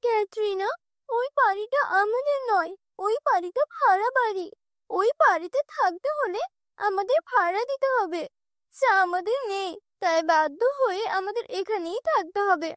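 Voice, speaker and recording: A woman's voice: very high voice, moderate pace, a bit bright, no room sound, studio quality, pitched above the performer's own voice. A woman voicing a dutiful, protective orphan girl who speaks earnestly and politely in a cartoon story. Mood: resigned.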